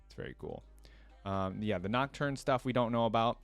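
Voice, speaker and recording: A man's voice: medium pitch, moderate pace, gravelly, faint music behind. A man hosting a reaction video, giving casual, rambling commentary on video game voice lines.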